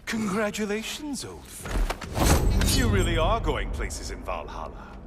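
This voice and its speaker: A man's voice: a little high, wildly swooping pitch, slow pace, heavily acted. An actor playing a sardonic male sun god, a severed talking head, in a video game, speaking with mocking, theatrical sarcasm. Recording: game audio with loud music behind.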